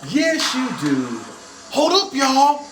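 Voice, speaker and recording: A man's voice: very high voice, huge pitch swings, takes it slowly. A man hosting a children's storytime in a hyped, sing-song, call-and-response way, reading rhythmically to a beat. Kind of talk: rhythmic read-aloud over hip hop instrumentals. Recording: roomy echo with music. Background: hip hop instrumental music.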